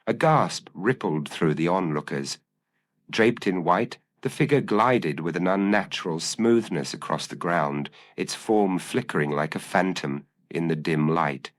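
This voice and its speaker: A man narrating a gothic mystery story in a measured, solemn, dramatic style. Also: low-pitched. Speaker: audiobook narrator.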